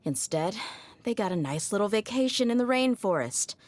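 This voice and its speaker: A woman's voice: medium pitch, expressive, normal speed, dry recording, close to an everyday voice. A woman voicing a tough, easygoing young desert mercenary in a video game, speaking in a casual, confident, wry and plain-spoken way. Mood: scornful, blunt. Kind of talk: scripted game character voice lines.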